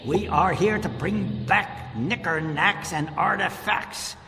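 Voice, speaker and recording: Bossy, scheming treasure hunter, creaky and drawn-out, theatrical radio comedy. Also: male voice, sits high, exaggerated voice. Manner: theatrical, exasperated, lecturing. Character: bossy, excitable, scheming male tomb-raiding treasure hunter. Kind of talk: comic radio play acting. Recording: long cavernous reverb, music behind.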